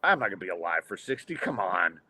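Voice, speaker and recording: A man's voice: low voice, big pitch swings, fast, sharp tone. A man in his fifties hosts a casual podcast, making wry jokes at his own expense. His voice rises and falls a lot from line to line. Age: 50s. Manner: self-deprecating, wry. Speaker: podcast host.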